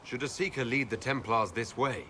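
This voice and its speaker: An actor playing a composed, resolute heroic leader in a video game cutscene, measured and direct. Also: male voice, mid-range voice, bright, forceful tone, natural voice. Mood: firm.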